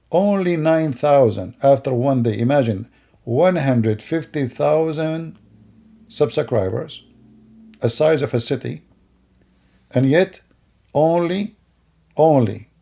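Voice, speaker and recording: Gravelly, mocking middle-aged man. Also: medium pitch, lively intonation, slow pace, pauses often, dark tone. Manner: mocking, rambling, with broken grammar. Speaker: commentator. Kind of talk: sarcastic commentary over video clips.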